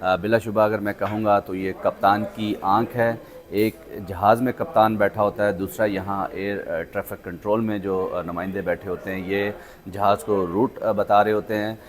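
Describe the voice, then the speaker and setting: Pakistani guy doing a news report on location, slightly low voice, stops and ums a lot. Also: fairly even intonation. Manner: halting, with frequent hesitations. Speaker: journalist presenter and interviewer. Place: on location in an air traffic control centre.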